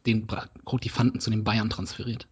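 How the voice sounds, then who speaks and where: A man's voice: lowish voice, little pitch movement, a little fast, slightly rough. A man co-hosting a casual chat podcast who tells jokey anecdotes about football mascots. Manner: joking, storytelling. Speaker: podcast co-host.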